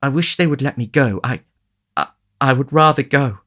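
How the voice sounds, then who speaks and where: A man voicing a timid, hesitant young orphan boy who speaks softly. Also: medium pitch, animated, normal speed, pitched above the performer's own voice, lightly acted.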